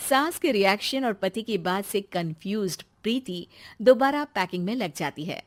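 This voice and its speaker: A woman narrating a scripted moral family story. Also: mid-range voice.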